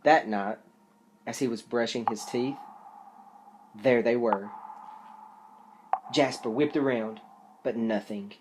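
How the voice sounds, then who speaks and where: Unhurried dramatic picture-book reader, suspenseful delivery, mid-pitched voice, noisy recording. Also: a little mellow. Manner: theatrical, with drawn-out suspenseful phrasing. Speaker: storyteller reading a picture book aloud. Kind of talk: dramatic children's story reading. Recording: clearly noisy background.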